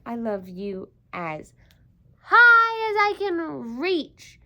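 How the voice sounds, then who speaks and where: A woman's voice: high voice, wildly swooping pitch, extremely slow, sharp tone, voice pushed up, full character voice. A woman voicing an eager, loving young male hare in a picture-book reading. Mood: eager affection. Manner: earnest, emphatic.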